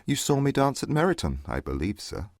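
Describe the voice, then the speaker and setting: An actor playing a proud, aloof young gentleman in a dramatized audiobook, with clipped, formal, haughty delivery. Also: mid-range voice, sing-song, fairly quick, dry recording. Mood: cool disdain.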